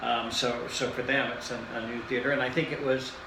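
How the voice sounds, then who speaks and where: A man with a gravelly, creaky voice interviews a teacher, pausing often and filling gaps with ums and uhs. He is recorded in an echoey room with clear background noise. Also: mid-range voice, little pitch movement. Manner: hesitant, many fillers, frequent backchannel. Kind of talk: reflective interview.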